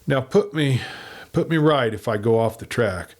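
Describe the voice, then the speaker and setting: A man voicing a shrewd, hard, steady lawman in a western story, with a blunt, commanding manner. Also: medium pitch, moderate pace, lightly acted. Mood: businesslike, confident.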